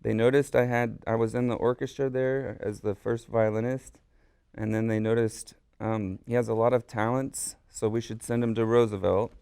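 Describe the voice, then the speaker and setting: A man's voice: medium pitch, flat delivery, moderate pace, creaky, mellow, clean tone, dry recording. A man whose first language was Korean, a guest artist and musician in a radio studio, telling his story in a rambling, eager way. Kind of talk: casual interview chat.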